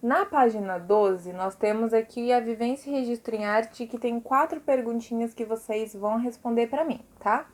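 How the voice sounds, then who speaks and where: Female teacher, Brazilian accent, higher-pitched voice; informal explainer delivery for students, peppered with checking tags. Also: normal speed, few pauses, a little mellow. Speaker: art teacher giving a video lesson. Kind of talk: informal scripted-free lesson explanation to students.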